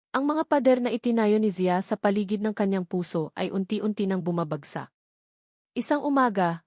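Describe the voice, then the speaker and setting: A woman's voice: medium pitch, darkish tone, no echo, clean studio sound. A woman with a Filipino accent narrating a scripted romantic drama as an audiobook storyteller.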